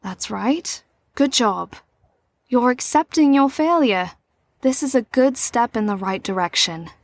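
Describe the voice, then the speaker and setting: A woman playing a shrewd, teasing adult mentor to troubled teens in an audio drama, with a measured, knowing, probing delivery. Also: fairly high pitch, lively intonation, no room sound. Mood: reassuring.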